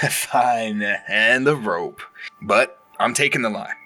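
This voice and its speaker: A man's voice: mid-range voice, takes it slowly, pushed and bright, faint music behind. A man playing a teasing, joking husband trading banter in an audio drama. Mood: playful. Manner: joking, chuckling.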